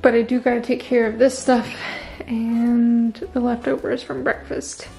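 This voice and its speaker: A woman's voice: on the high side, level tone, measured pace, creaky, darkish tone, poor audio. A woman chatting casually in an upbeat way as she narrates a home vlog. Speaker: vlogger, host. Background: music.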